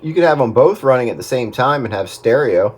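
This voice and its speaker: A man giving casual technical advice on the radio in a halting way. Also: medium pitch, expressive. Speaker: radio hobbyist with recording background. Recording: radio chat with faint static.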